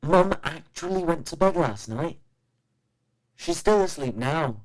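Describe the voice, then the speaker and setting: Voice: mid-range voice, lively intonation, measured pace, darkish tone, lightly acted. A performer playing an earnest, brainy teenage son in an audio drama.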